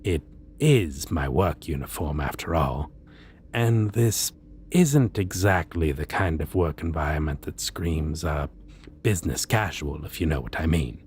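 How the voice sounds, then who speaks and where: A man's voice: a bass voice, expressive, unhurried, darkish tone, a little hiss or hum, voice pushed down. A man playing a theatrical, suave and condescending costumed supervillain in an ASMR roleplay. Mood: amused, smug. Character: theatrical, stately, amused supervillain in costume interviewing a minion.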